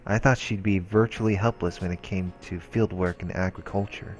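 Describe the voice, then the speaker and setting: A man's voice: low-pitched, steady tone, normal speed. A man doing a let's play, reading visual novel narration aloud in a casual, informal way, stumbling now and then and adding his own comments. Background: background music from the game.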